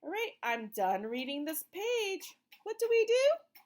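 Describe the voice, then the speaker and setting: A woman's voice: very high-pitched, sing-song, unhurried, a little mellow. A woman doing an interactive read-aloud for young children like a teacher, asking simple questions, giving encouraging prompts and reading the words with emphasis.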